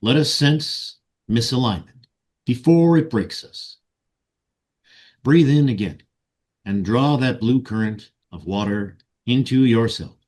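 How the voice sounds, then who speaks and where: A man leading a guided meditation and ceremonial invocation in solemn, deliberate short phrases. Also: mid-range voice, a little mellow. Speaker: meditation guide and ritual leader.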